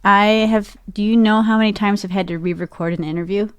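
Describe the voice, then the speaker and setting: A woman's voice: mid-range voice, fairly even intonation, fast talker, clear, resonant tone, no echo. A woman chatting casually as an interview guest, speaking into a podcast microphone. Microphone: dynamic podcast mic in a production room.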